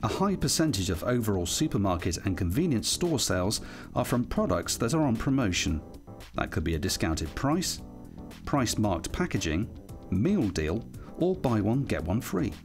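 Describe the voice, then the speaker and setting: A British man narrates a training film in a scripted, instructional style. His voice is fairly low and moves in lively swings of pitch, with music clearly playing behind him. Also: normal speed. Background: background music.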